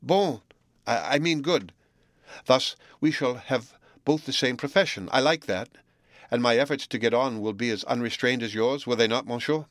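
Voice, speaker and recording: A man reading aloud voices a shy, earnest young woman pupil, speaking softly and slowly in a raised tone. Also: medium pitch, dry recording, clean studio sound, pitched above the performer's own voice, natural voice. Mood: eager. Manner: soft, subdued and slow.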